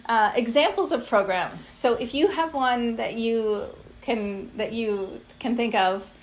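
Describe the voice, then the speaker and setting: A woman's voice: a little high, a little slow, poor audio. Conversational woman coach in her fifties. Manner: conversational, lively when acting out quotes. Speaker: coach teaching a masterclass. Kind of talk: live online class talk.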